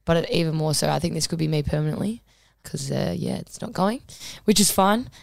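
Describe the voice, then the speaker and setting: Woman co-host, husky and low, laid-back rambling delivery, dry close recording. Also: a little fast, no room sound. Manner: relaxed, rambling, many ums. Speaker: podcast co-host. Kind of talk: casual podcast chat.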